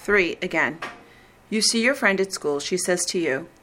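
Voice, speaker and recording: A woman reading the script of a recorded listening exam in a slow, deliberate, evenly paced way. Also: on the low side, animated, sharp tone, a little hiss or hum. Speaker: exam reader.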